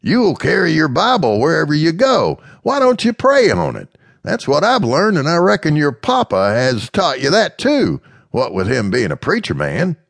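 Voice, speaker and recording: A man's voice: fairly high pitch, wildly swooping pitch, normal speed, gravelly, no room sound, pitched above the performer's own voice, exaggerated voice. A man doing a folksy, plain-spoken rural man. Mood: coaxing. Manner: folksy, drawling, gently blunt.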